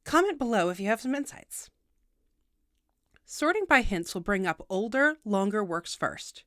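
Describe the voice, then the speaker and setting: A woman's voice: mid-range voice, big pitch swings, normal speed, a bit bright, no room sound, studio quality. A woman hosting a video tutorial, reading scripted explainer narration in a precise, instructional way with wry asides.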